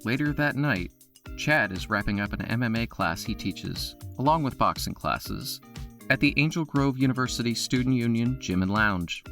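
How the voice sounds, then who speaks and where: A man giving steady, descriptive scripted narration for an audio drama. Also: mid-range voice, moderate pace, faint music behind.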